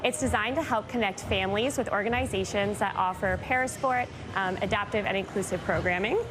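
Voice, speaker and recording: Woman, event organizer, clear and informative, mid-pitched, music behind. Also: fairly quick, poor audio. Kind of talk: interview.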